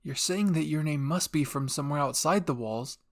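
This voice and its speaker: A man's voice: fairly high pitch, moderate pace, natural voice. A man voicing a thoughtful, tentative teenage boy soldier, reasoning aloud in an anime fan roleplay.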